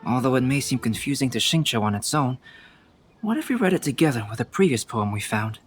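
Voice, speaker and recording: A man playing a calm, courteous, analytical young scholar in an English video game, speaking in a measured, thoughtful way. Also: medium pitch, slightly rough, faint music behind. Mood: thoughtful, deductive.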